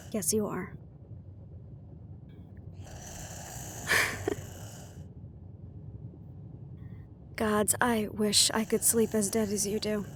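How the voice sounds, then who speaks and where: A woman's voice: medium pitch, a little hiss or hum, close to an everyday voice. A woman playing a worried, wry half-banshee in an intimate fantasy audio roleplay, confiding and musing as she talks. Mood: wry, fond. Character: young female half-banshee siren, worried, wry, secretly vulnerable. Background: background music.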